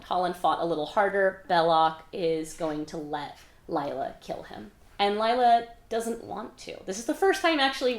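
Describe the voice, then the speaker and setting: A woman's voice: on the low side, reverberant. A woman hosting a readalong as its author, recapping the story casually and wryly with dramatic emphasis and some swearing. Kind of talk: casual, animated book recap.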